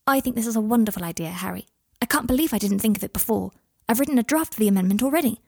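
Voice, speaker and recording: A clever, kindly witch, voiced by a woman for an audiobook. She is brisk, articulate and bookish, with a slightly raised pitch and a faint huskiness in a dry recording. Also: animated, vocal fry, slightly rough, no echo, full character voice. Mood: excited. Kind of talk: audiobook character voice.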